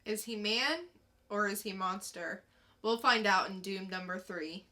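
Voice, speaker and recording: A woman with a bright voice and a slow, unhurried pace. She sits at a middle pitch, speaking casually as a podcast co-host reviewing comics and reading blurbs.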